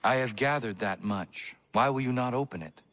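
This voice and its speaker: An actor playing a stoic, blunt young warrior in a video game, speaking in a terse, formal, solemn manner. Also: male voice, medium pitch, moderate pace, fairly bright tone, no echo, lightly acted. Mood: blunt, curious. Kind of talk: dramatic video game acting.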